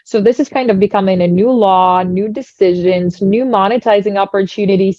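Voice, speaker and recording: A woman's voice: medium pitch, darkish tone, no echo. A woman from Ukraine with a Ukrainian accent, a guest explaining her work enthusiastically in a casual interview. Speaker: guest, tech founder. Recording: dull dry lo-fi audio.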